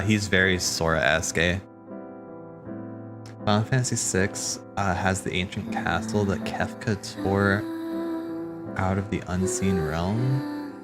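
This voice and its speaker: A man hosting a casual watch party, rambling and thinking aloud in slangy speech as he comments. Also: low-pitched. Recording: game music playing behind. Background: video game cutscene music.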